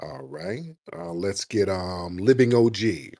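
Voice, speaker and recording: A man's voice: low voice, slow, warm tone, no echo, clean studio sound. A man hosting a call-in radio show, arguing with callers and interrupting them to lecture. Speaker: host.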